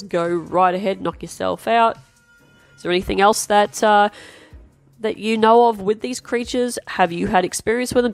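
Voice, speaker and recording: A woman's voice: mid-range voice, no echo. An Australian woman with a nasal, stuffed-up voice from a cold, chatting casually and self-deprecatingly as she hosts her art channel. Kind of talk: casual chat while drawing. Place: home desk, indoors.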